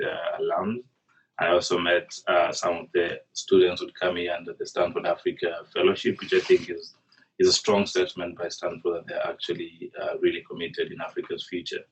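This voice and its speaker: A young man from Kenya answering panel questions in a measured way, with frequent fillers. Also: sits low, slightly rough. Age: young adult. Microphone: video call. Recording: distant, dull video-call audio.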